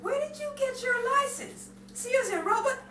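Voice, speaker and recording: A woman's voice: extremely high pitch, expressive, normal speed, crowd noise. A woman playing an indignant, demanding passenger in an old-time radio comedy, incredulous and biting. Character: indignant, biting, panicky woman crammed in a car. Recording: roomy, distant, clearly noisy.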